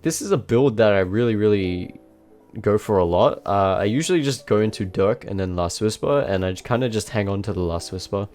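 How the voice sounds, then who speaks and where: A man with a blocked nose and a slightly nasal voice, giving relaxed, self-mocking voice-over commentary on his own match. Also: fairly low pitch, fairly quick. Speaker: gameplay commentator. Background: faint background music.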